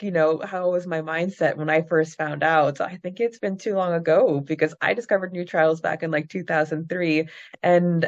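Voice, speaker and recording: Female podcast co-host, lowish voice, eager casual fan chat, giggly, dull lo-fi audio. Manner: enthusiastic, giggly. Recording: dull lo-fi microphone sound.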